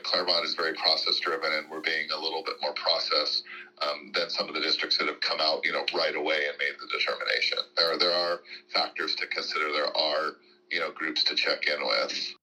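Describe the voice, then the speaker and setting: An American man gives unscripted interview answers in a deep voice with a very bright, forceful edge. His tone is rough and husky, and he talks like an official, hedging as he goes. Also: flat delivery, brisk, rough recording. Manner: hedging, peppered with "you know". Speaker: school district official being interviewed.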